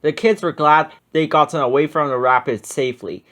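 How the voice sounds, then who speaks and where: A man reading a children's story aloud in an expressive narrating style. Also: on the high side, brisk. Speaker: storybook reader.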